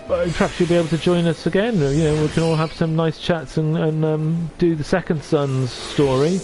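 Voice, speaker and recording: A man from North Wales streaming from his sofa at home. He chats gently with his viewers and often stops and restarts. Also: a little high, steady tone, normal speed, over music, poor audio. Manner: gentle, hesitant, with many restarts. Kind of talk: casual chat reading out viewer comments.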